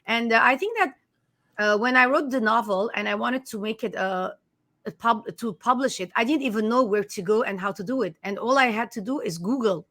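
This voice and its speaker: A woman's voice: on the high side, brisk, a bit bright. A woman whose first language is Armenian, an author and life coach, talking earnestly in an interview with frequent restarts. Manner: earnest, emphatic, many restarts. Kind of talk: conversational interview.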